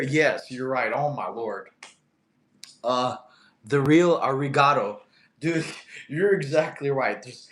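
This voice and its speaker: A man in his thirties whose first language is Russian, casually chatting on a livestream, reading comments and laughing often. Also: medium pitch. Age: 30s. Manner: laid-back, chatty, laughs often, talks to his cat. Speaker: livestream host. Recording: echoey room.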